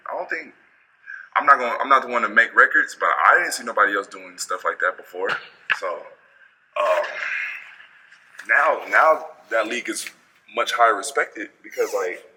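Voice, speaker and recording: A young American man with a fairly low voice talks casually and without a script. His delivery is laid-back and full of slang. There is noticeable noise and clicking behind him. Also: bright, forceful tone. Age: young adult. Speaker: athlete and clothing brand founder. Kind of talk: casual unscripted chat. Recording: clearly noisy background with clicks.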